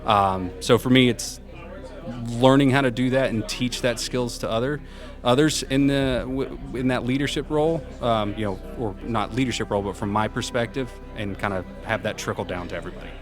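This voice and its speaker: A man with a loud, carrying voice answering interview questions in an informal, upbeat, self-effacing way as a senior program manager. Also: mid-range voice, a little fast. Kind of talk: casual conversational interview answers. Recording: music playing behind the voice.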